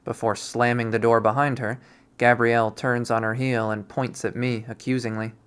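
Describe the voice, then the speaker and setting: A streamer narrating a visual novel readthrough in an expressive, performative way. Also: mid-range voice, moderate pace, clear, resonant tone. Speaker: video game readthrough streamer.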